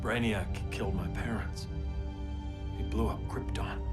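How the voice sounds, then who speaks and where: Intense, echoey young alien heroine. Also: female voice, extremely low pitch, fairly even intonation, unhurried. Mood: haunted resolve. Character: young female alien refugee hero, haunted, determined. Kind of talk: video game cutscene acting. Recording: echoey, music underneath.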